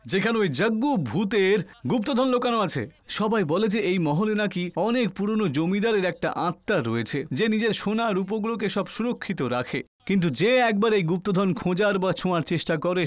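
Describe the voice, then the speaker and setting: A man voicing an excitable, reckless young ghost-hunting vlogger with a breezy, eager manner in an acted horror story. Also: sits high, normal speed, dry recording. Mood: showy, presenting. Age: young adult. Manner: breezy, eager, dismissive of fear.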